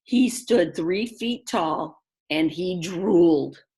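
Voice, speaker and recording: A woman's voice: fairly low pitch, slow pace, warm tone. A woman teacher reading a children's book aloud, speaking expressively and directly to young listeners with chatty, simple asides.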